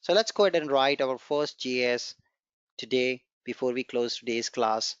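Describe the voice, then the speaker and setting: A man whose first language is Gujarati leads an online programming class. He encourages the students and goes over each step more than once. His voice sounds dull and dry, like a low-fi recording from a computer mic. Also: moderate pace, a bit bright, clear, resonant tone, dry recording. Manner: encouraging, repeats key points, walks through code step by step. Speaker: programming instructor. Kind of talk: live online coding class.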